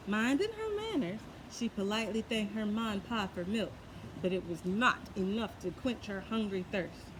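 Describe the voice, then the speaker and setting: A woman reading a children's tall tale aloud in an expressive, folksy way, adding her own asides. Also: medium pitch, normal speed, noisy background. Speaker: storyteller. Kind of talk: children's story read-aloud.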